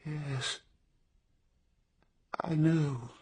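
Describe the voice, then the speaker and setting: Timid broken ex-soldier in a radio play, super breathy and slow, stammers and repeats himself. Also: male voice, on the high side, steady tone, a little mellow, exaggerated voice. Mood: confused, anguished. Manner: hesitant, halting, stammering repeats. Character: troubled, timid, downtrodden war veteran accused of murder. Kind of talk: radio drama acting.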